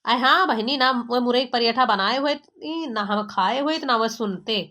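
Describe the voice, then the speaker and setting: Woman voicing sharp, high-voiced village daughter-in-law. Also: normal speed. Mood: embarrassed, complaining. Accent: Awadhi. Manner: dramatic complaining, colloquial. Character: exasperated, embarrassed young village daughter-in-law, gossipy and scolding. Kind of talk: amateur cartoon comedy acting.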